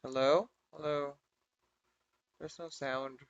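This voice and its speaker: A man's voice: on the high side, fairly even intonation, slow. A man giving casual, crude, exclamatory reactions as commentary over a video game. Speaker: gaming video creator. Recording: dull lo-fi mic over game music.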